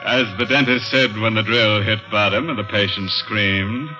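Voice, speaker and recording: An actor playing a macabre, mock-genial horror host in 1950s radio style, sardonic and theatrical, delighting in grisly wordplay. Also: male voice, on the low side, fairly even intonation, normal speed, natural voice. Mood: ghoulish glee. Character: ghoulish, macabre male horror host, gleefully punning. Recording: dull old radio recording, loud music.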